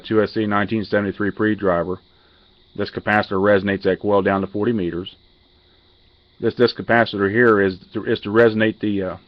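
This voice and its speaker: A man giving a casual hands-on technical walkthrough as a radio hobbyist, halting and matter-of-fact, picked up on a handheld camera mic. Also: on the low side, dry recording, lo-fi sound. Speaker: amateur radio hobbyist demonstrating a modified radio.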